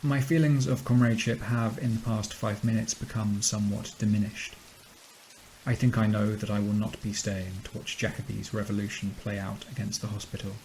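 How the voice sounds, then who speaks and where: A man's voice: fairly low pitch, flat delivery, brisk, darkish tone, lightly acted, poor audio. An actor playing a weary, bitter, detached man in a horror audio drama monologue. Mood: detached, dry. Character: weary, detached man trapped in a nightmare city. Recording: faint hiss behind the voice.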